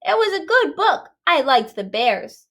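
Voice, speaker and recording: A woman plays an earnest little boy from a storybook. He sounds eager and simple, high in pitch and bright. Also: very animated, measured pace, full character voice. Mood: matter-of-fact.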